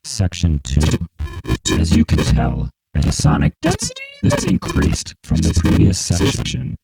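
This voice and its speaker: A narrator explaining an electronic music piece in slow, exaggerated, very proper enunciation, processed through a vocoder with shifting synthesizer tones. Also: huge pitch swings, creaky, darkish tone, poor audio. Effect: vocoder, randomly shifting synthesizer pitch and chords. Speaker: composer narrating own piece.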